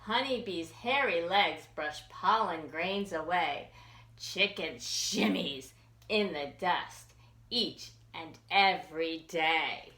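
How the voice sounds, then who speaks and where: A woman children's librarian reading a picture book aloud for young children in an expressive, sing-song questioning way. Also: fairly low pitch, slow pace, echoey room. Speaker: children's librarian hosting storytime.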